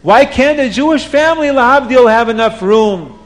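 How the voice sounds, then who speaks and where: A man's voice: very high voice, expressive, moderate pace, long reverb tail, pitched above the performer's own voice. A man voicing a poor, hopeful father of six who muses wistfully. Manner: wistful, musing.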